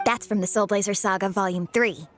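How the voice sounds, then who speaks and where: A woman's voice: mid-range voice, fairly even intonation, fairly quick, fairly bright tone, natural voice. A woman voicing a pedantic, proud young comic-book superfan in an anime video game, with a matter-of-fact know-it-all manner. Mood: proud, nerdy. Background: light game music.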